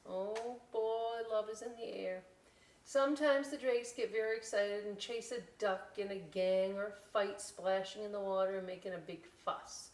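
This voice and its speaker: A middle-aged woman reading a children's picture book aloud in an expressive, folksy way, pausing for chatty, simple explanations. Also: medium pitch. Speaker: library storytime host reading aloud. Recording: roomy echo, distant microphone.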